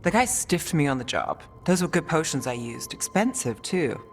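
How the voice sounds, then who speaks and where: An actress playing a cool, dryly witty professional fixer in a video game, casual and wry. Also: female voice, deep voice, big pitch swings, normal speed. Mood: dry annoyance. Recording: reverberant hall, faint music.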